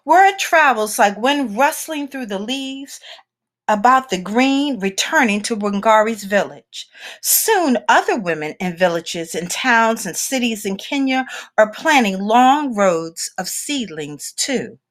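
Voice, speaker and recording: Woman, children's read-aloud, unhurried pace, animated melodic pitch, deliberate phrasing, dramatic pauses. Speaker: picture book reader.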